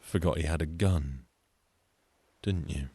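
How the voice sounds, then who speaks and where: A man telling a folktale in a wry, conversational way with deadpan asides. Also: rumbling low, takes it slowly, breathy edge. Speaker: storyteller and folk singer. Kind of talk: wry conversational storytelling with song.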